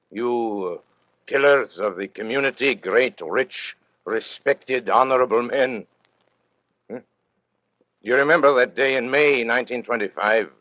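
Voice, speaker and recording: Deep, suave, menacing radio villain. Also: male voice, fairly bright tone, dry recording. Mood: sardonic. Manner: theatrical, urbane, deliberate, mock-polite. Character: suave, menacing male criminal agent, condemned, mocking powerful men. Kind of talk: old-time radio drama acting and narration.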